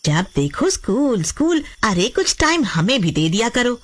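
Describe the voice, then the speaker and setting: A woman's voice: a little high, big pitch swings, unhurried. A woman voicing a quarrelsome village wife in a storybook tale, nagging and melodramatic. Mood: sarcastic nagging. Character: quarrelsome village wife, sharp-tongued nag. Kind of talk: Hindi horror cartoon story voice acting.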